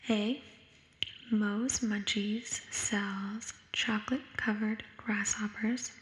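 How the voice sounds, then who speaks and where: Slow whispering young woman with echo. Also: medium pitch, little pitch movement. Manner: whispered, hushed and unhurried. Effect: echo sound effect. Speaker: ASMR creator. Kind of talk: ASMR, reading study questions aloud. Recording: echoing whisper recording.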